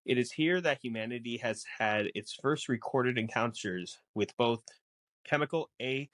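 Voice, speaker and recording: A man's voice: on the low side, a bit bright, no echo. A man guesting on a podcast, explaining film lore casually with theatrical, mock-exasperated banter.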